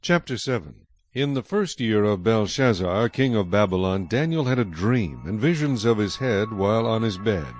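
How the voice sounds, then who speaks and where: A man's voice: on the low side, expressive, moderate pace, darkish tone, soft background music. A man reading scripted narration as the narrator of a dramatized Bible recording.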